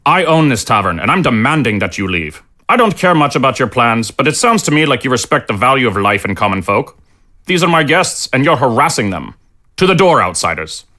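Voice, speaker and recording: A man's voice: medium pitch, big pitch swings, fairly quick, pushed and bright. A man playing a firm, protective tavern keeper in a fantasy audio drama, blunt and authoritative. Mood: firm demand.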